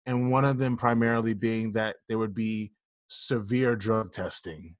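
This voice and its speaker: A man's voice: on the low side, steady tone, a little slow, no echo. A man co-hosting a casual podcast, talking bluntly and jokingly and swearing freely. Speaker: podcast co-host.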